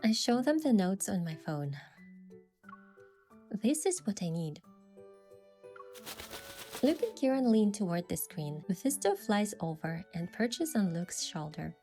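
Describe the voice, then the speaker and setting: A woman's voice: mid-range voice, sing-song, a little slow, pauses often, mellow. A woman giving scripted first-person story narration with acted dialogue. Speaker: narrator and lead character of a game event story. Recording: music behind.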